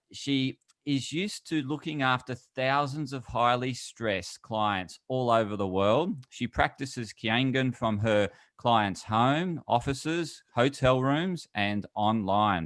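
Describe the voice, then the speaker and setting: A man's voice: mid-range voice, takes it slowly, few pauses, a bit bright, no room sound. A middle-aged man speaking conversationally as an interview guest and wellness coach.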